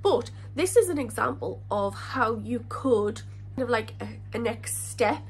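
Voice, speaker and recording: A woman's voice: fairly high pitch, animated, a little slow, husky. A woman in her thirties with a congested, slightly crackly voice from a cold, hosting a fish-keeping channel and chatting to the camera in a rambling, self-correcting way. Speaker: aquarium channel host. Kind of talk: casual talk to camera. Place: living room in front of a fish tank.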